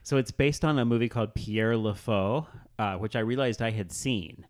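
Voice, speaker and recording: A man co-hosting a casual pop culture chat, speaking in a halting way peppered with "like". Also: on the low side. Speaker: podcast co-host.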